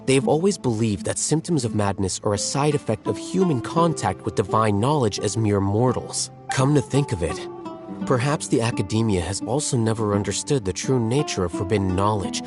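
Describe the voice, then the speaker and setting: A man voicing a calm, scholarly strategist in a video game, speaking in a measured, explanatory way. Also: on the low side, close to an everyday voice. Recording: game audio with faint music.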